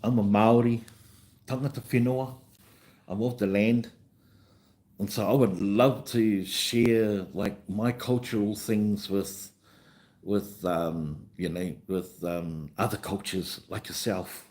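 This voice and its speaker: A man's voice: fairly low pitch, fairly even intonation, slow pace, a little mellow. A man with a New Zealand accent hosting a casual livestream chat in an easygoing, rambling way, often repeating himself. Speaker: livestream host and vlogger.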